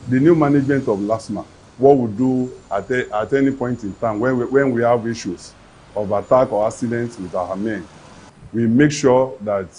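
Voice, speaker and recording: A man's voice: mid-range voice, dark tone, husky, poor audio. A man, an agency official answering interview questions in an earnest, official way. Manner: earnest, official, with some restarts.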